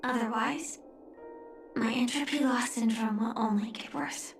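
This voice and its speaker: A woman voicing a grieving but resolute young knight in a video game, solemn, restrained and softly breathy. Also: on the high side, fairly even intonation, measured pace. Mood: solemn, resigned. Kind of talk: dramatic video game voice acting. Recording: roomy echo, music underneath. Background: music.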